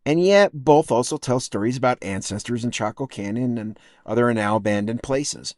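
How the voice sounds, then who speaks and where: Casting: American male essay narrator, fifties, medium pitch, thoughtful and wry delivery, very dry acoustic. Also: darkish tone, dry recording. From United States, upstate New York and Texas. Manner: measured, reflective, wry. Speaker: podcast writer and narrator. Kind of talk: scripted personal essay narration.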